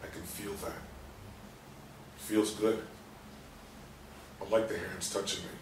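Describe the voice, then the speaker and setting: An actor in a play reading voicing a frightened, confused paralysed man's inner thoughts in halting, anguished bursts. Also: deep voice, little pitch movement. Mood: subdued. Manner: halting, anguished self-talk in short bursts. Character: frightened, confused man trapped in his paralysed body, sweary. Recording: roomy, distant mic, faint noise.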